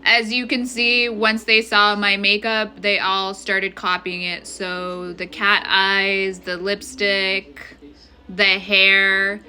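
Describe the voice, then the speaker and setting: A woman's voice: mid-range voice, level tone, takes it slowly, sharp tone. A woman from Ethiopia talking straight to camera in a vlog, emphatic and indignant, often checking in with the viewer. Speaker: vlogger. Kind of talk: direct-to-camera monologue.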